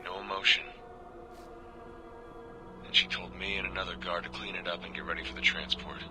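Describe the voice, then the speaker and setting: An actor playing a world-weary American prison guard, confiding and plain-spoken, in a video game audio log. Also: male voice, low voice, little pitch movement, a little fast, music in the background, close to an everyday voice. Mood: somber, regretful. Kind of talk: scripted audio-log monologue.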